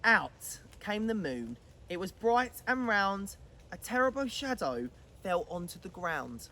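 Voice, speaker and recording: A woman's voice: medium pitch, big pitch swings, slow pace, pushed and bright. A woman reading a children's bedtime story aloud with dramatic, rhythmic expression.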